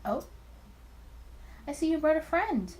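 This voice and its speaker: A woman voicing a sly, smooth-talking, flirtatious male speakeasy owner in a theatrical drawl, in a visual novel game. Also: high-pitched, big pitch swings, unhurried, a little mellow, slight background noise, voice pushed up. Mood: sly, teasing.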